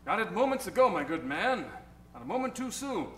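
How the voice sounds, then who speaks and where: A man's voice: sits high, very animated, brisk, fairly bright tone, echoey room. A man playing a chatty, eager small-town cab driver in an old-time radio comedy, with folksy, theatrical delivery.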